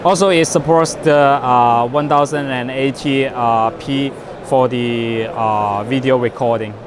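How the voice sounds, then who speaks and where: Halting non-native man over clear background noise. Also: medium pitch, fairly even intonation. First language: not English. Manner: halting, hesitant delivery with broken phrasing. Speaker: company representative presenting a product. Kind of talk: unscripted product demonstration. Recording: noisy trade show floor.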